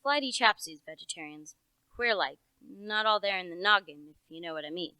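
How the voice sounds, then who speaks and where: A woman's voice: fairly low pitch, animated, a little fast, bright, forceful tone, smooth, dry recording, rough recording. A young woman playing a folksy, opinionated country police officer in a radio play, with a rustic, knowing, matter-of-fact manner. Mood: knowing, suspicious. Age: young adult.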